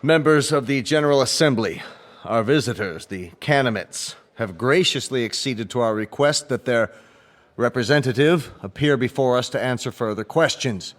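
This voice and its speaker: A man's voice: medium pitch, moderate pace, close to an everyday voice. An actor playing a formal assembly chairman in a radio drama, with an official, commanding manner.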